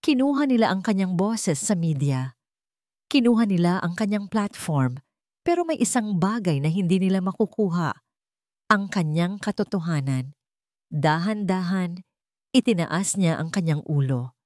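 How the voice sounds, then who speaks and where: A woman with a Filipino accent narrating a scripted dramatic story in deliberate phrasing. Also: fairly low pitch, expressive, a little mellow, no room sound, clean studio sound.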